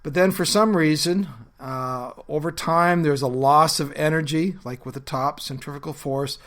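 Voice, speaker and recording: A man's voice: on the high side, moderate pace, a bit bright. Earnest man with a cold. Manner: earnest, conversational, with frequent uh fillers. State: has a bad cold, voice lower than normal. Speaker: podcast host and pastor. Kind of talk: reflective solo podcast talk.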